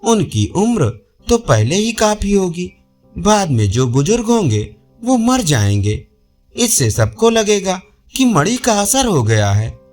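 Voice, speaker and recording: A man's voice: high-pitched, sing-song, slow pace, darkish tone, soft background music, heavily acted. A man voicing a scheming male monkey in a storybook tale, coaxing and persuasive.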